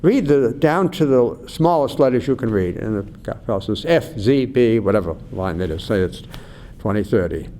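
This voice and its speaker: An older man, an eye researcher, explaining slowly and carefully in an interview, acting out doctors and patients as he goes. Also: animated, dark tone. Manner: measured, acts out doctor and patient. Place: conference venue. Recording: faint low rumble behind.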